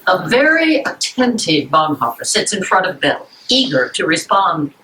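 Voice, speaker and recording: A woman's voice: lowish voice, big pitch swings, normal speed, bright, forceful tone, slight background noise, poor audio. A woman narrator reading scripted stage directions at a dramatic reading.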